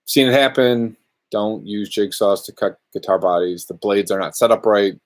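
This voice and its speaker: A man talks loosely to viewers from his shop during a live question-and-answer stream. His voice sits a little low and his delivery is relaxed and rambling, jumping from one comment to the next. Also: moderate pace. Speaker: luthier podcast host. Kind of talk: casual live Q&A chat. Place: workshop.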